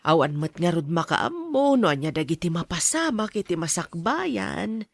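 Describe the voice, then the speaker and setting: A woman's voice: lowish voice, sing-song, normal speed, dry recording. A woman with an Ilocano accent acting a pitying older female relative in a radio drama, sympathetic and lamenting. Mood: sorrowful consoling. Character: pitying older female relative consoling a heartbroken young man.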